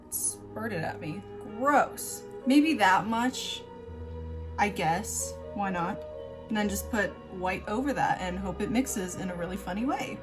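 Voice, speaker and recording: A woman's voice: medium pitch, expressive, measured pace, music in the background. A woman hosting a makeup tutorial in a casual, chatty style, with an offhand, self-deprecating and informal way of talking.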